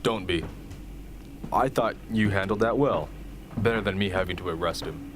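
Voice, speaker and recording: Radio play officer, male actor: low voice, matter-of-fact, clearly noisy background. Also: moderate pace, natural voice. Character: measured, pragmatic male military officer. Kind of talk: amateur radio drama acting. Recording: noisy hobby recording.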